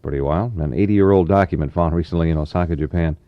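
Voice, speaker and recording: Low-voiced easygoing American radio DJ man. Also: quick pace. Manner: easygoing, chatty, folksy asides. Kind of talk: 1960s middle-of-the-road radio DJ patter. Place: radio studio. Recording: dull, roomy 1960s AM radio aircheck.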